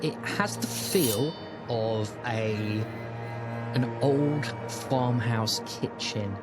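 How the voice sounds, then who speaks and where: A British man narrating as game master of a tabletop game, measured and slowing for suspense. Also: mid-range voice. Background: loud music.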